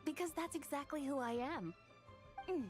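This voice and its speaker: Woman as a haughty, high-voiced immortal spirit. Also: music in the background, natural voice. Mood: proud. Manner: lofty, formal, deliberate. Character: haughty, proud female adeptus, immortal horse spirit in human guise. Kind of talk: animated video game dialogue.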